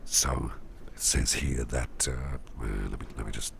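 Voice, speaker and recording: Male actor as eager, courteous boss for ASMR roleplay: rock-bottom pitch, slow, hushed, gravelly delivery with gentle rambling. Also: fairly even intonation, a little hiss or hum, full character voice. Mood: absorbed, musing. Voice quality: very deep, gravelly, breathy half-whisper. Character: eager, friendly male boss researching mythical artifacts. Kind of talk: soft, intimate ASMR roleplay, half-whispered.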